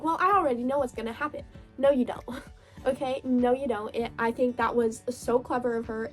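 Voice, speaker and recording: A young woman with a fairly high voice, hoarse and gravelly from a cold, chatting eagerly about her reading. She gushes, laughs easily and talks straight to her viewers. Soft music plays quietly behind her. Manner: gushing, chatty, laughs often. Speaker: book vlogger. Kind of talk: casual reading wrap-up chat.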